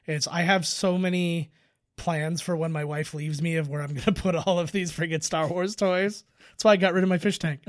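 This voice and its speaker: A man with a slightly high voice talks casually as a podcast co-host watching a movie. He comments as things happen and drops the odd swear word into the chat. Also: fairly even intonation, moderate pace.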